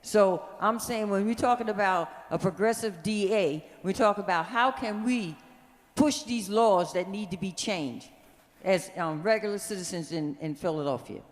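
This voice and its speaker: Earnest questioner in an echoey hall. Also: mid-range voice. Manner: earnest, insistent, halting at times. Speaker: audience member asking a question. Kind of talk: pointed question at a public forum. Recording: echoey hall with long reverb.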